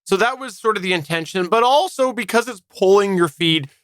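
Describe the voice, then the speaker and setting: A man speaks as a podcast co-host with a bright, slightly high voice. His delivery is crisp and clean, explaining things informally in a conversational style. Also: expressive, fairly quick, dry recording, studio quality. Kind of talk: casual explanatory podcast talk.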